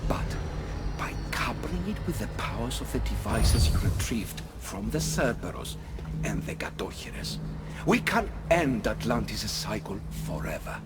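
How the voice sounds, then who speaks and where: A man playing a weary, solemn ruler of a doomed ancient city in a video game, speaking in a grave, measured, formal and theatrical way. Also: fairly low pitch, very animated. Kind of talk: dramatic video game voice acting. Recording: loud music under the voice.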